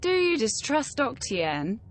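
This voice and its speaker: An actress playing a composed fantasy video game heroine, with deliberate, plain-spoken, earnest delivery. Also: female voice, sits high, very animated, a little hiss or hum, full character voice.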